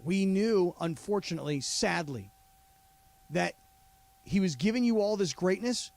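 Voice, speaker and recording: A man co-hosting a sports talk radio show, animated and prone to interrupting and repeating himself in studio banter. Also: high-pitched, a little slow, gravelly, no room sound. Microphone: studio mic.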